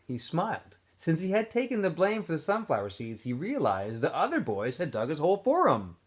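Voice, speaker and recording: Expressive male teacher reading aloud. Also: on the high side, breathy edge. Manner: casual, chatty recap with fillers, then expressive reading. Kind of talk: read-aloud of a novel for students.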